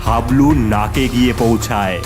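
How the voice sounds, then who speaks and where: A man's voice: medium pitch, normal speed, music in the background. A man narrating a scripted story in a dramatic storytelling style.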